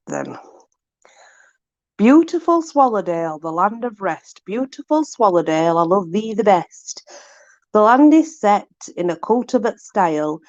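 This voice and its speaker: A woman's voice: mid-range voice, a little mellow, dry recording, highs cut off. A woman with a Yorkshire accent, reading aloud in a casual, chatty way with informal, exclamatory asides to a companion. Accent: Yorkshire English. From Yorkshire, England. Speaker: host reading aloud from a book.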